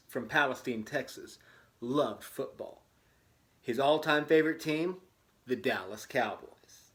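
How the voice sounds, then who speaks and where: A man reading a storybook dramatically, breaking off for wry, tongue-in-cheek casual commentary. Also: medium pitch. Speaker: host reading a children's book aloud with asides.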